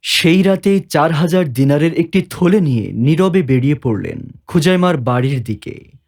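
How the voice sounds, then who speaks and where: A man's voice: a little high, animated, moderate pace, a little mellow. A man narrating a scripted story as its narrator. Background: background music.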